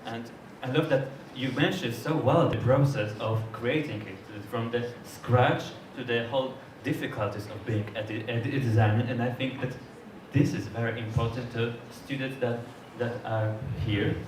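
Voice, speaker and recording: A man moderating a public talk, speaking English haltingly with many restarts and fillers. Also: mid-range voice, fairly even intonation. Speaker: event moderator. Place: lecture hall. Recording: distant mic in an echoey hall with noise.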